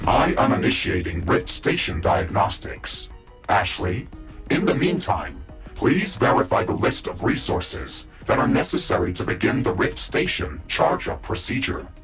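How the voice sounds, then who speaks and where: Deep robotic mecha-suit AI. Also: male voice, very animated, unhurried, fairly bright tone, faint music behind, full character voice. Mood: procedural, flat report. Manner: clipped, formal, technical reporting. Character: calm, matter-of-fact male AI of a mecha suit. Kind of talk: scripted sci-fi game dialogue.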